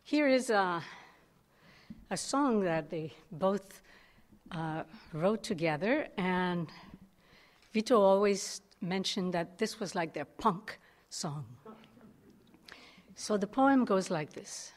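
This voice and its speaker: A woman's voice: medium pitch, animated, slow pace, long pauses. A woman speaking and reciting a poem informally at a live tribute event.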